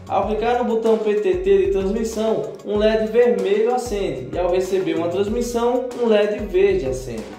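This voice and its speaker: A man's voice: high voice, darkish tone. A man with a Brazilian accent narrating a scripted product review as the reviewer.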